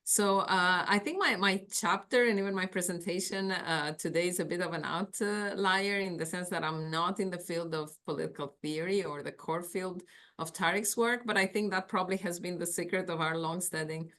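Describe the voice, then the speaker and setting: Woman, greek accent, deep voice, academic talk, laptop mic, hesitant fillers. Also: normal speed, fairly bright tone. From Greece. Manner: thoughtful, searching for words with fillers. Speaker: academic panelist. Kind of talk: remote conference talk. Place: office. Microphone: laptop on a video call.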